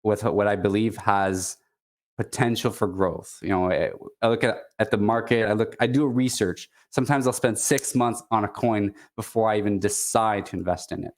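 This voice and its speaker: A man from Quebec with a Quebecois French accent, a native French speaker chatting casually as a guest, stopping and restarting often. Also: fairly low pitch. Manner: halting, many restarts and fillers. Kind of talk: casual chat.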